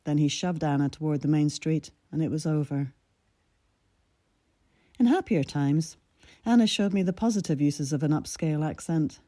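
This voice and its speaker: Very dark-voiced woman in her sixties. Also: low-pitched, animated, no room sound, clean studio sound. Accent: mid-Atlantic with a Scottish lilt. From Scotland. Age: 60s. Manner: measured, reflective storytelling. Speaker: narrator of her own essay. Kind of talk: scripted personal essay reading.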